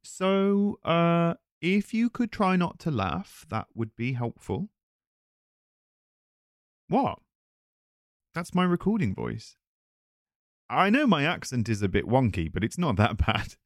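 A man plays a chatty erotic-audio performer in a casual roleplay aimed at the listener. His pitch moves around a lot, and he sounds self-conscious and hesitant, in a very dry recording. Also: sing-song, slow pace, long pauses, dry recording, exaggerated voice. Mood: flustered, defensive. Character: chatty, nervous erotic-audio voice actor hosting a visitor.

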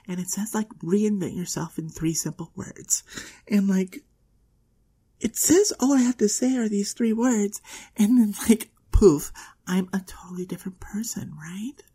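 Woman playing a breathy, ditzy valley girl, swinging wildly. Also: mid-range voice, warm tone. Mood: hushed, curious. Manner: valley-girl drawl full of "like" and "totally". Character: vain, catty, ditzy young blonde popular girl. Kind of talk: first-person audio roleplay monologue.

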